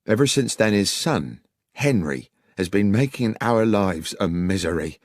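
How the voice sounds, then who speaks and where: A man's voice: lowish voice, animated, measured pace, no room sound. A man voicing an elderly village publican in an audiobook, plain-spoken, respectful and troubled. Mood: anxious pleading. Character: elderly amiable village publican, distressed and deferential.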